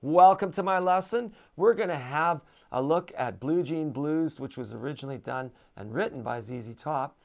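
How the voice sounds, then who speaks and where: A man with a low voice giving a casual guitar lesson, relaxed and chatty, with plenty of fillers. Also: normal speed, few pauses. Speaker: guitar teacher.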